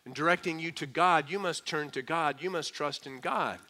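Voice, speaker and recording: A man preaching a sermon, lively and conversational, slowing down hard on key phrases and slipping into quick mock voices of doubters. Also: a little high. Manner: lively preaching, mimics skeptics, slows for emphasis. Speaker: pastor.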